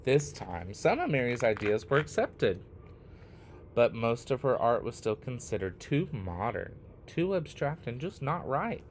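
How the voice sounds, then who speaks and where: A man reads a children's picture book aloud with an expressive, animated delivery. He takes his time, leaving deliberate pauses between short phrases. His mid-pitched voice moves up and down freely, over faint background noise. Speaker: read-aloud storyteller.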